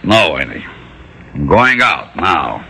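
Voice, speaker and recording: An actor playing a weary, stubborn old shopkeeper who is calmly defiant, in a 1960s radio drama. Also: male voice, very deep voice, slow, creaky, slight background noise, heavily acted. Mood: calm, resolute.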